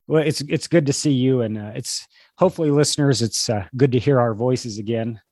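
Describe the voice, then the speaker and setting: A man co-hosting a podcast in casual conversation, a bit congested from a cold, speaking in an earnest, halting, wordy way. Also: mid-range voice, darkish tone, no room sound, studio quality. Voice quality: slightly stuffy, congested. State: struggling with a cold. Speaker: podcast co-host. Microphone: video call.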